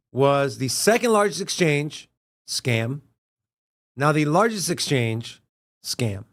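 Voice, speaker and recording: Man, slightly high pitch, lively intonation, questioning co-host, casual live-stream talk. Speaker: co-host of a crypto news show.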